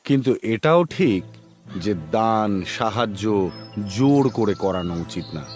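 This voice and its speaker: An actor voicing a strict older teacher in a cartoon, speaking sternly in a measured, lecturing manner. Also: male voice, fairly low pitch, lively intonation, a little mellow. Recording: music playing behind the voice.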